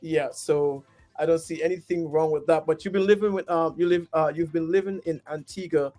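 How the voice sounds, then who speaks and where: A man's voice: fairly high pitch, steady tone, fairly quick, mellow, faint music behind. A man from Guyana hosting an interview, friendly and prone to repeating himself with lots of "um". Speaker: podcast host. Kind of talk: casual interview.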